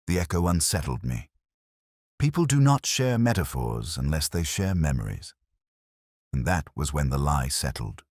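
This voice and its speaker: A man reading a scripted first-person detective story in a measured, brooding, literary manner. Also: rumbling low, no room sound, clean studio sound. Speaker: narrator.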